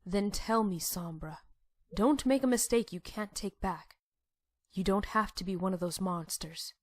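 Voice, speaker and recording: A woman voicing a gentle pony princess in a comic dub, speaking in a measured, earnest way. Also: medium pitch, natural voice. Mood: earnest, regretful. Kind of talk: dramatic comic dub acting.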